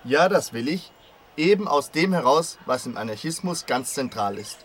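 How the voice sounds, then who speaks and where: A man playing an earnest, intellectual anarchist father who argues in measured, formal phrases in a scripted radio play. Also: fairly high pitch, lively intonation, close to an everyday voice. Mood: earnest, firm. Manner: measured, argumentative, formal wording. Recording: clear background noise and ambience.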